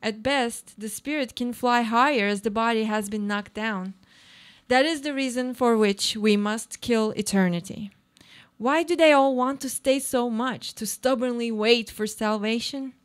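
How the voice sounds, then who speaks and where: An actress playing a brooding, cynical, uneasy married woman in a literary radio play, speaking in a deliberate, poetic way. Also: a little high, lightly acted.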